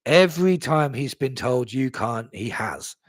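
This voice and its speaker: A man's voice: mid-range voice, lively intonation, measured pace, no echo, studio quality. A young man hosting a live stream, chatting casually about his club, reading out viewer comments and swearing easily. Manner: laid-back, chatty, swears, laughs at himself.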